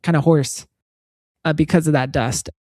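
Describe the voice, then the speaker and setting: A woman's voice: sits low, steady tone, normal speed, a little mellow, dry recording, clean studio sound. A woman co-hosting a podcast, chatting casually and explaining things with examples. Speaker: podcast co-host. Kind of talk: casual chat. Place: recorded in Texas.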